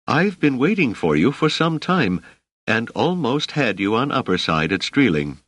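A man's voice: mid-range voice, big pitch swings, measured pace, fairly bright tone, no echo, pitched above the performer's own voice. A man voicing a plump, merry, middle-aged motherly woman in an audiobook, gracious and politely chatty. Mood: gracious welcome.

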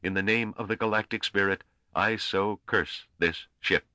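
A man's voice: fairly low pitch, fairly even intonation, bright, forceful tone, clean tone, dry recording, voice pushed up. Man acting a stern, declamatory priest. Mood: solemn cursing. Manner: declamatory, slow, commanding. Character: stern, righteous male priest laying solemn curses and commands. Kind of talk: dramatic audiobook character voice.

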